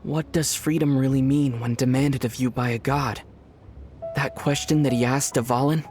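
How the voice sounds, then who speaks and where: A man voicing a calm, thoughtful young traveler hero in a video game, speaking in a measured way. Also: mid-range voice, faint music behind, lightly acted.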